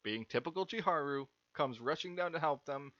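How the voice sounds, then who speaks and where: A man's voice: a little high, lively intonation, brisk, a bit bright, dry recording. A man playing an easygoing schoolboy protagonist who narrates a visual novel. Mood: narrating. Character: teenage student narrator-protagonist, easygoing and teasing boy. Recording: dull lo-fi mic, faint music behind.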